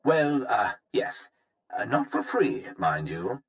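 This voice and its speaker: An actor playing a smooth, evasive corporate executive in a video game, speaking in polished, wordy, formal phrases. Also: male voice, medium pitch, sing-song, takes it slowly, exaggerated voice. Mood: businesslike. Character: smooth, evasive, self-justifying male corporate executive, schemer. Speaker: corporate research executive character. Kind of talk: video game cutscene acting.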